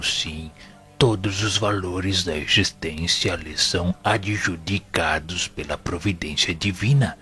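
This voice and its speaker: An actor with a Brazilian accent playing a wise, paternal spiritual instructor in an audio drama, speaking in grave, formal, didactic oratory. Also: male voice, sits low, sharp tone, full character voice. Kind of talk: audio drama sermon-like monologue.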